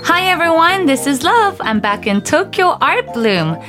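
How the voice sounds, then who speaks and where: Animated, giggly female radio host. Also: very high voice, a little slow, music in the background. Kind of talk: bubbly radio interview chat. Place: radio studio.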